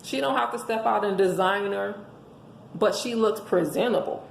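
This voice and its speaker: A hoarse woman giving an emphatic, preachy motivational monologue, speaking as a host handing out relationship advice to listeners. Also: medium pitch, moderate pace. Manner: emphatic, preachy, rhetorical questions. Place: indoors at home. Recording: echoey room with faint background noise.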